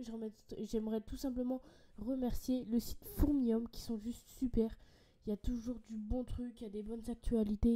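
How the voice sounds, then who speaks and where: Young French female host, slightly congested, chatty hobby vlogger, warm dark voice. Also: fairly high pitch. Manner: chatty and enthusiastic, many fillers and restarts. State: has a cold, a bit congested. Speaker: ant-keeping channel host. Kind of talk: casual screen-share product walkthrough.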